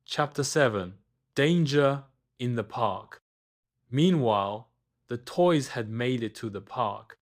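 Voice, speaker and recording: Male narrator, medium pitch, slow pace, children's bedtime story, expressive, deliberate pauses.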